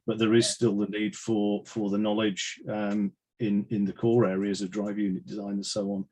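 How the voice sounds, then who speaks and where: A man with a fairly low voice hosts an online talk. He speaks conversationally, as a colleague commenting on what the guest has said. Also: normal speed, warm tone. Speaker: webinar host, committee member. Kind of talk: conversational hosting. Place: online video call.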